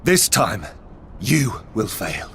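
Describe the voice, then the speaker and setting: A man playing a solemn, regretful oath-keeper in a video game, with a grave, earnest, measured manner. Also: fairly low pitch, sing-song, fairly bright tone, slight background noise, heavily acted. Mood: urgent, resolute. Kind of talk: dramatic video game acting.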